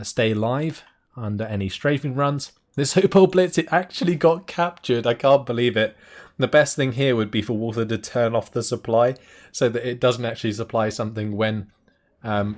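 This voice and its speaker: A man with a hoarse, worn voice that he is losing, giving excitable live play-by-play commentary on a strategy game match and laughing often at surprising plays. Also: mid-range voice. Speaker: game caster.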